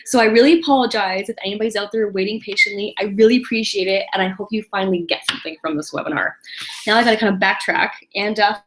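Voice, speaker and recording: A woman's voice: medium pitch, low-quality recording. Chatty woman hosting a webinar. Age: 30s. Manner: chatty, apologetic, self-deprecating with quick laughs. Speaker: webinar host. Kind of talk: casual solo webinar presentation.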